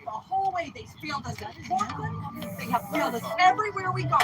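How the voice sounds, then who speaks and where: A woman making an angry, accusing speech to a crowd outdoors, shouting at times. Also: very high voice, big pitch swings, a little fast, very noisy. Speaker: convoy protester addressing the group. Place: outdoor parking lot.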